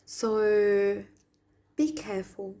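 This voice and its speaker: A woman from Argentina with an Argentine Spanish accent, a Spanish native speaker giving a spiritual message to viewers, speaking softly and dropping into whispers on some words. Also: medium pitch, steady tone. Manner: slow, soft, drops to whispers on some words. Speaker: spiritual channel.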